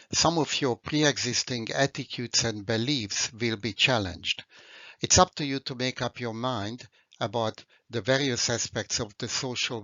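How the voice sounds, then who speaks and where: An older man from Hungary with a Hungarian accent, giving a formal, measured university lecture over slides. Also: mid-range voice, bright, forceful tone, no room sound. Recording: dry, dull lo-fi recording.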